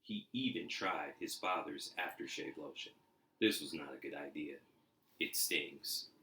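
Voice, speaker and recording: A man's voice: extremely low pitch, unhurried, pushed and bright. A man reading a storybook aloud expressively, in an echoey room. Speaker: storybook reader. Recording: roomy, echoey indoor sound.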